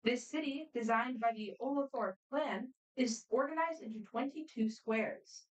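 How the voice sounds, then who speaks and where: A woman moderating a student quiz competition, reading questions aloud in a brisk, friendly, matter-of-fact way. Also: fairly high pitch. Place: competition room. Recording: voice picked up from a distance.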